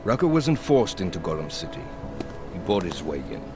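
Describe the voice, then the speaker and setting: An actor playing a blunt, cynical veteran pilot in a video game, sardonic, cocky and colloquial. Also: male voice, low-pitched, sing-song, moderate pace, music in the background. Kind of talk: video game cutscene acting.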